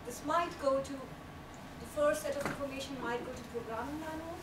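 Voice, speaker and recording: A woman's voice: high voice, normal speed. A young woman giving an earnest, explanatory talk on technical writing at a small meetup, recorded by a far camera in a small room. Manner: earnest, explanatory, laughs lightly at times. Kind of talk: presentation talk. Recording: echoey room, voice from afar, loud background noise.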